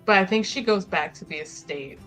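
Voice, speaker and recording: A woman's voice: medium pitch, brisk, fairly bright tone, faint music behind. A woman player narrating her roleplaying character casually, in an informal, rambling, sweary way. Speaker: player in a tabletop roleplaying game.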